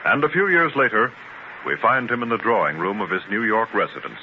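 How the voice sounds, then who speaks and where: A man's voice: lowish voice, lively intonation, fairly quick. A man narrating a scripted 1930s radio drama in a formal, grandiose manner. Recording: muffled 1930s radio transcription, faint noise.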